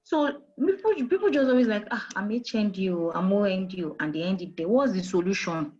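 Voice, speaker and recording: A woman's voice: mid-range voice, animated, brisk, darkish tone, husky, dull audio. A woman with a Nigerian accent, an HR manager giving career advice in an interview, who talks emphatically, repeats her key points and asks rhetorical questions. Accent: Nigerian English. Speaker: HR manager guest.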